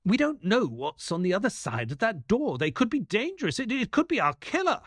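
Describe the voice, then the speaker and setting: An earnest male sidekick to a detective, telling the story in an audio drama. His voice is fairly high for a man and very animated, with a close, dry sound. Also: a little fast, no room sound, heavily acted. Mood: anxious. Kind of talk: audio play acting and narration.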